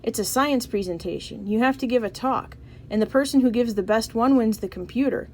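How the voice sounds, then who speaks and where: A woman's voice: on the high side, brisk, darkish tone, slight background noise, natural voice. A woman voicing a shy, worried schoolboy in a children's storybook, in a hesitant way. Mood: eager. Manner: hesitant, self-doubting.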